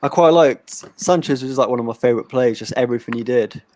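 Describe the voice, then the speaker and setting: A man hosting a live watch-along, chatting casually, reading out viewer comments and giving shout-outs. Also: mid-range voice, quick pace. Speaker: host. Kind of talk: casual chat.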